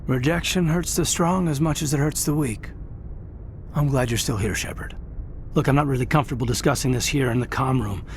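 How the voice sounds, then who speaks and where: A man's voice: medium pitch, fairly quick, a little hiss or hum, close to an everyday voice. A man playing a sincere, hurt military lieutenant in a video game, speaking earnestly and hesitantly. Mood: sympathetic. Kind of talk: video game dialogue acting.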